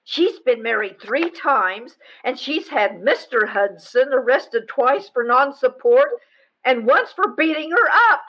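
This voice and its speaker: A woman's voice: high voice, expressive, slow pace, a bit bright, highs cut off, full character voice. A woman acting an indignant, nagging wife in a radio comedy. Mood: scandalized.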